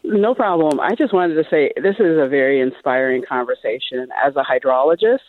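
A woman's voice: low voice, fairly quick, dry recording. A middle-aged woman from Liberia, calling in to a radio show and talking with enthusiasm and encouragement. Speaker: caller. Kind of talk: radio call-in. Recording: tinny phone line.

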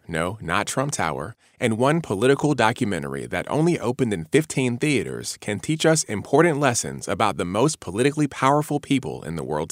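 Male promo announcer, animated scripted read, very dry recording. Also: lowish voice, dry recording.

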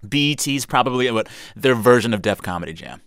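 A man's voice: medium pitch, expressive, brisk, fairly bright tone, clean tone, no room sound, studio quality. A man hosting an interview in a casual, chatty way, playful and full of slangy exclamations. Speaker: podcast and radio interview host.

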